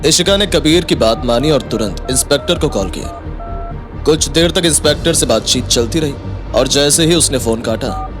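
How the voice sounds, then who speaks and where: A man's voice: medium pitch, expressive, moderate pace, over music. A man giving scripted, dramatic narration of an audio story.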